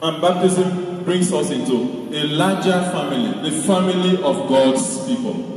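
A middle-aged man, a priest giving a sermon in a deliberate, rhetorical way, repeating his phrases. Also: a little high, a little mellow. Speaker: priest preaching. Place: large stone church, indoors. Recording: very echoey church hall, distant mic, noise.

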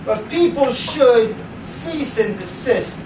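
A middle-aged man giving a dramatic, deliberate reading to a live audience, in a theatrical, declamatory way. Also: extremely high pitch, animated. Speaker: presenter reading at a book event. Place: indoor library event room. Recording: roomy, noisy, distant camera microphone.

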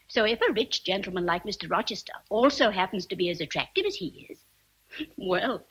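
Gossipy motherly housekeeper, husky and lively, old radio drama. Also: a little high, exaggerated voice, low-quality recording. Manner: chatty, savoring, emphatic. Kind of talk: vintage radio drama acting.

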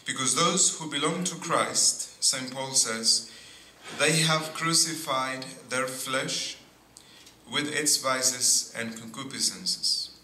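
A middle-aged man, a priest giving a sermon at a pulpit, speaking in slow, deliberate phrases with pauses and frequent asides of "see". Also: medium pitch, bright, forceful tone. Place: church sanctuary at a pulpit. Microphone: boom mic at pulpit. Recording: echoey church with faint noise.

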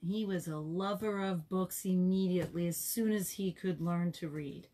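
A woman with a somewhat low-pitched voice reads a children's story aloud. Her delivery is conversational, with explanatory asides to young listeners and expression on the quoted dialogue. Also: a little mellow.

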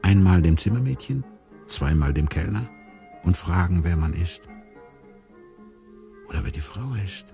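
An actor playing a bewildered amnesiac man who muses wryly and deliberately in a radio drama monologue. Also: sits low, expressive, vocal fry, dark tone, soft background music, heavily acted. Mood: musing, bewildered. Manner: deliberate, musing, wry. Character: bewildered amnesiac man narrating his inner thoughts.

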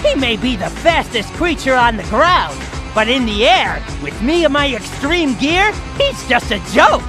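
An arrogant young hawk, boss of a gang of thieves in a video game. The voice is high and wildly animated, impatient and hot-tempered, with music behind it. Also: male voice, measured pace, exaggerated voice. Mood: cocky. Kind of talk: video game cutscene voice acting.

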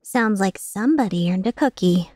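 A woman's voice: medium pitch, animated, moderate pace, a little mellow, no echo, studio quality, close to an everyday voice. A woman voicing a cocky female mercenary leader in a video game fan dub, playful, sarcastic and taunting with an edge of menace. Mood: sardonic.

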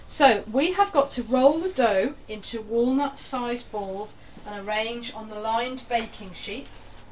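Older British woman, slightly high voice, directive kitchen instructor to kids; distant, faintly noisy camera sound. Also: slow. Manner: directive, checking the children follow. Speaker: grandmother teaching children to bake. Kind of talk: casual step-by-step instruction to children. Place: small domestic kitchen. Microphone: handheld camera a few feet away. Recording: distant camera mic, some background noise.

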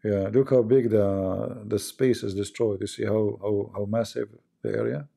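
A man's voice: lowish voice, fairly even intonation, moderate pace, creaky, dark tone. A man with a Middle Eastern accent hosting a casual live stream, talking bluntly and mocking what he reads. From Middle East.

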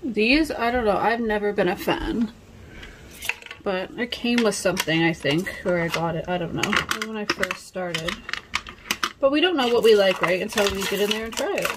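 A woman's voice: medium pitch, moderate pace. Chatty woman vlogger thinking aloud, noisy recording. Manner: rambling, thinking aloud, self-questioning. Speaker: nail vlogger. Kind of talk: casual chatty vlog. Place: home nail studio room. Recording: clear background noise with clicks.

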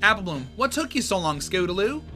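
Male reader doing an earnest country filly, high and bright. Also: very animated, brisk, soft background music, exaggerated voice. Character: young farm filly pony, earnest and worried.

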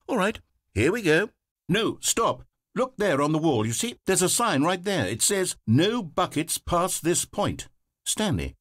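A man's voice: medium pitch, no room sound. A man playing a fussy, theatrical video game narrator, dramatic, exasperated and condescending by turns. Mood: scolding. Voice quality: husky, very animated delivery. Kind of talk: scripted video game voice acting. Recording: dry close studio recording.

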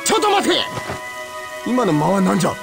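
A man playing a rough, blunt young man who speaks in gruff, folksy dialect in an anime-style Japanese game. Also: high-pitched, wildly swooping pitch, a little fast, music in the background, exaggerated voice. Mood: exasperated.

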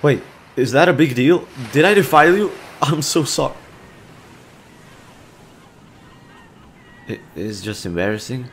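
A man playing a kind, bashful young man in an audio roleplay, talking to the listener in a casual, gentle and hesitant way. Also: medium pitch, expressive, a little fast, slight background noise. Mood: flustered, apologetic.

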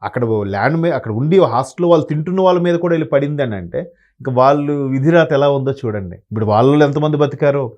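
A man's voice: mid-range voice, fast, darkish tone, muffled recording. A man speaking as an analyst guest, talking in a rambling, sombre way with many restarts. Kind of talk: conversational commentary.

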